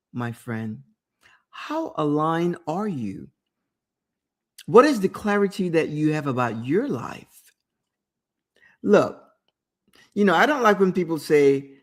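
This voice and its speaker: Woman talk-show host, motivational storyteller, slow and expressive delivery, emphatic repetition, direct address to the audience. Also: deep voice. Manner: emphatic, repeats words for effect, addresses listener directly; storytelling with quoted inner thoughts.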